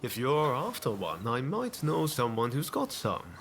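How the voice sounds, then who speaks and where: A roguish ronin in a game cutscene, breezy and playful, swoopy pitch, takes his time. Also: huge pitch swings, measured pace, creaky, fairly bright tone, a little hiss or hum, heavily acted. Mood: breezy, confident. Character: jaunty, roguish ronin man with big ambitions. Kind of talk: video game cutscene acting.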